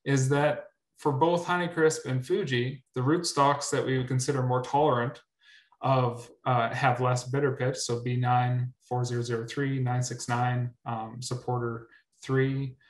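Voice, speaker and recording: A man's voice: mid-range voice, little pitch movement, measured pace. A young man presenting research on a video call, repeating words often with many ums. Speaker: researcher presenting at a grower meetup. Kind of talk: webinar presentation. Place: indoor room. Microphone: webcam on video call. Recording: roomy echo.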